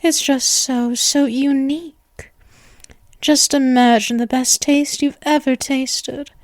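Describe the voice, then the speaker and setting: A woman's voice: high voice, fairly even intonation, slow pace, no echo. A woman voicing an obsessive, doting, gleefully morbid young vampire in an intimate, theatrical ASMR roleplay monologue. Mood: delighted, gushing. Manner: gushing, theatrical, breathy asides, lovestruck.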